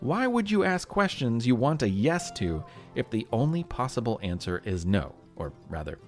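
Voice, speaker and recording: A man's voice: medium pitch, very animated, moderate pace, faint music behind. A man with an American accent narrating a scripted history podcast as host, telling it like a storyteller to young listeners.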